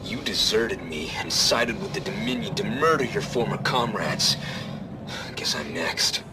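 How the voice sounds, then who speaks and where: A betrayed male soldier turned enemy in a sci-fi game, bitter and brooding. His voice is lowish and very slow, accusing in tone, with very loud music behind it. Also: lively intonation. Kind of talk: dramatic video game voice acting.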